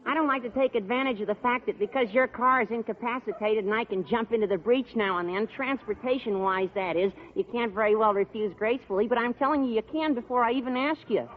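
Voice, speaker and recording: An actor playing an earnest, long-winded, lovesick high school boy with a whiny delivery in a vintage radio sitcom. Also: fast, few pauses, a little hiss or hum. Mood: earnest, rambling. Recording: tinny vintage radio broadcast.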